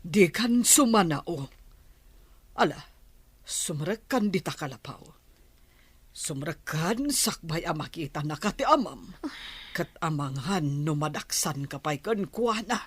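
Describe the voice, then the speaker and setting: A woman playing a fearful, protective mother in a radio drama, husky and urgently scolding. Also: deep voice, expressive, unhurried, pauses often, dry recording, exaggerated voice. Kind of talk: Filipino radio drama acting.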